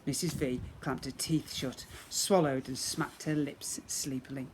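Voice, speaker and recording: A man's voice: medium pitch, fairly even intonation, measured pace. Man reading a children's storybook expressively. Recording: faint background noise.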